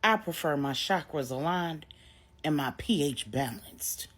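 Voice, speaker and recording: A woman's voice: low-pitched, expressive, unhurried, voice pushed down. A woman storyteller voicing a haughty, composed crime-family matriarch with a cool, condescending manner. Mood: cool contempt.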